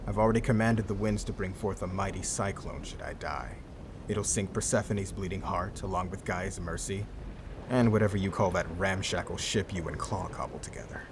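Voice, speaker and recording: A man's voice: low-pitched, fairly even intonation, normal speed, background noise. A man playing a feared pirate overlord in an audio drama, a sly, gloating villain with a mock-courteous, taunting, theatrical delivery. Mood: smug, taunting. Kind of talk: cinematic audio roleplay acting.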